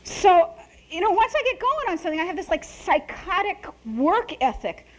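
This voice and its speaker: A middle-aged woman author giving an animated, self-mocking talk and laughing at her own jokes. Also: very high-pitched, moderate pace, a little hiss or hum, low-quality recording. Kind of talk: conversational public talk.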